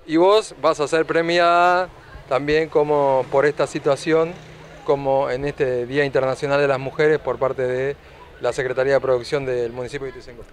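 A man with an Argentine accent, a street reporter asking brisk, leading questions outdoors. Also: medium pitch, fairly even intonation, a little hiss or hum. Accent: Argentine Spanish. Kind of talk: news interview questions. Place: city sidewalk outdoors.

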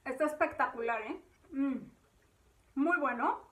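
A woman's voice: sits high, a little slow, a bit bright. A woman, hosting a home cooking tutorial in her kitchen and chatting viewers through each step. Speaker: cooking channel host.

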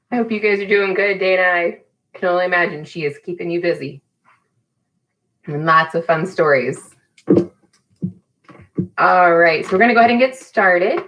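A woman's voice: on the low side, measured pace, long pauses, fairly bright tone, dull audio. A woman in her thirties leading a live storytime for young children, encouraging and speaking to them directly as a teacher would. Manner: sing-song greetings to children by name. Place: small indoor room at home.

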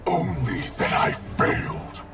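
An actor voicing a menacing, arrogant undead shadow ninja warlord in a video game, gravelly, with cold, imperious, clipped declarations. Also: male voice, mid-range voice, wildly swooping pitch, takes it slowly, heavily acted. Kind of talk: video game character dialogue. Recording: loud music under the voice. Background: loud game music.

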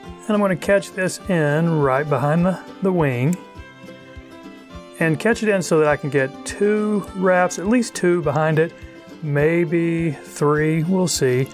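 Casual middle-aged male instructor, somewhat high voice, talks as he works, faint music underneath. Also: slow. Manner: relaxed, chatty, thinking aloud. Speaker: fly tying instructor. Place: outdoors on a wide river.

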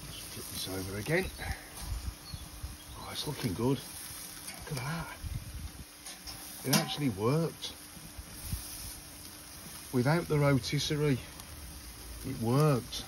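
An older man talks viewers through his cooking at an outdoor grill, chatty and homely, often pointing things out. His voice sits in the middle of the range. The outdoor recording carries clear background noise. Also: mid-range voice, slow, pauses often. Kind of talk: casual cooking vlog. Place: garden patio by a grill, outdoors.

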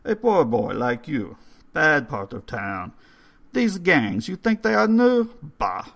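A man voicing an old undertaker who speaks in a formal, slow and ominous way. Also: fairly high pitch, big pitch swings, gravelly, fairly bright tone, pitched above the performer's own voice, full character voice. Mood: haunted. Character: old Hungarian male undertaker, courtly and eerie.

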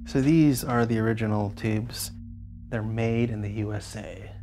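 A man's voice: on the low side, fairly even intonation, a little slow, warm tone, faint music behind. A man giving a casual, hands-on workshop walkthrough in an enthusiastic, geeky, colloquial way. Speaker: DIY amp builder and host.

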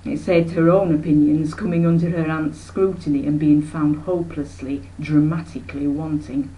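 Narrator: woman, 60s, lowish pitch, expressive storytelling, some noise on home recording. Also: normal speed, poor audio. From Yorkshire, England. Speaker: author reading own novel. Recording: noticeable background noise, webcam microphone.

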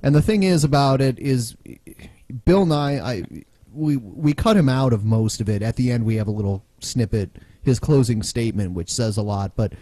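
A relaxed man hosting a podcast, talking casually and chuckling along with his co-hosts. His voice is mellow and warm, recorded close and dry on a podcast mic. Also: mid-range voice, normal speed, no echo, rough recording. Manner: relaxed, chatty, laughs easily. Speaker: podcast host.

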